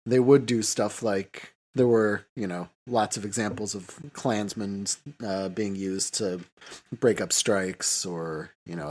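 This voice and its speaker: A man's voice: fairly low pitch, darkish tone. A man co-hosting a podcast, talking casually and analytically in a discursive, hedging way. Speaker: podcast co-host.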